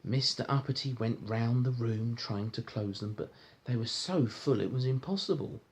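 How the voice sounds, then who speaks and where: A man's voice: mid-range voice, moderate pace. A man reading a storybook aloud to a child, chatting in a coaxing way with frequent little questions. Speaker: parent reading a bedtime-style story to a child.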